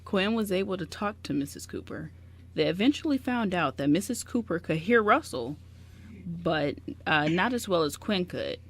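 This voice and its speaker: A woman's voice: on the low side, expressive, moderate pace, slightly rough. A woman on a radio show reading a story synopsis aloud, stumbling now and then and correcting herself. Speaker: radio show cast member.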